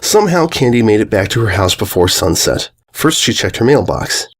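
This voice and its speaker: A male narrator reads a scripted story. His voice sits a bit low, and his intonation is very animated and varied. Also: moderate pace. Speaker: fan fiction narrator.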